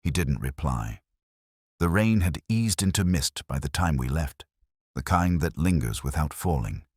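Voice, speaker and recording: An extremely deep male voice of an actor tells a detective story in the first person as a brooding police inspector. The delivery is solemn and literary, with measured phrasing and a widely moving melody. The sound is dry, in the manner of a reflective audio drama. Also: animated, a little mellow, breathy edge, dry recording, full character voice. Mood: somber, reflective.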